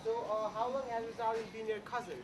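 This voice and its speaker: Girl playing awkward lovesick young guy in stage comedy, highish voice, pretty noisy recording. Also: fairly even intonation, fast, natural voice. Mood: awkward, lovestruck. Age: young adult. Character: awkward, hesitant lovestruck Romeo, a young man. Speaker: student actor in a school play. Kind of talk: comic stage acting. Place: school stage.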